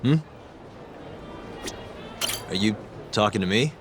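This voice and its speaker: A man's voice: fairly low pitch, lively intonation, takes it slowly. An actor playing an easygoing, slightly awkward male private investigator in a video game, casual and hesitant. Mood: puzzled, casual. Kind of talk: English game dub cutscene acting, naturalistic. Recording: music behind the dialogue.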